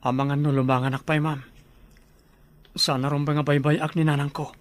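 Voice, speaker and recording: A man's voice: fairly high pitch, little pitch movement, a little fast, close to an everyday voice. A man with a Filipino accent playing an anxious young student in a radio drama. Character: anxious young male student fearing for his mother. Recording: noisy background hiss.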